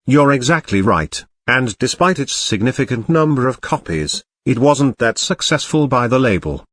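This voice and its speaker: A man's voice: mid-range voice, lively intonation, moderate pace, fairly bright tone, no echo. A man hosting a talk show, delivering scripted segments and announcements to viewers. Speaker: show host.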